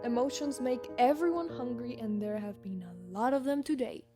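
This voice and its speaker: A caring, practical guardian acted by a woman in an audio drama, with a fairly high voice. She gives plain, gentle instruction over some music. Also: normal speed, natural voice.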